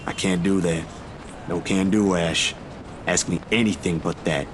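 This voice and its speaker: A man's voice: low voice, fairly even intonation, measured pace, a little hiss or hum. A man voicing a loyal, stubborn, good-hearted young everyman in a video game drama, speaking in an earnest, slangy way. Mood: defiant, resolute.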